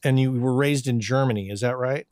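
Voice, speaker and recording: A man's voice: mid-range voice, a little fast, darkish tone, no echo, clean studio sound. A man from southern New Mexico hosting a podcast interview, friendly and conversational, often repeating his words as he goes. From southern New Mexico, USA. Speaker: podcast host. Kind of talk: casual interview chat.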